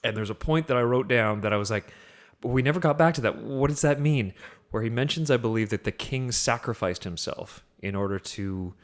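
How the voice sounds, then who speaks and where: Mid-pitched man interjecting in casual chat. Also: a little fast. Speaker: podcast co-host. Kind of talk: casual film discussion.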